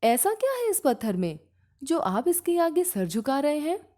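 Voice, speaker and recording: Woman as humble storybook shop worker, direct and questioning, lively intonation. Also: high-pitched, moderate pace, voice pushed up. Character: earnest, questioning underpaid male shop worker.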